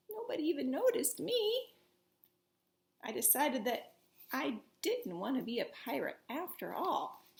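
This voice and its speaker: A woman reading a children's story aloud with theatrical, expressive emphasis in a roomy, echoing space. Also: high-pitched. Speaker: storybook reader.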